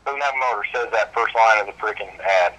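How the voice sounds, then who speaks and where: A man's voice: fairly low pitch, fairly quick, sharp tone, rough recording. A man talking casually on the phone in a slangy way, calling people man and dude. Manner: slangy, laid-back wording with frequent "man" and "dude". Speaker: truck seller on a phone call.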